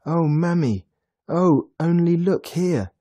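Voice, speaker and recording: Man voicing an excitable high-pitched little boy. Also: takes it slowly, husky, full character voice. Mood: excited delight. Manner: breathless, exclaiming. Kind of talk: children's storybook character voice.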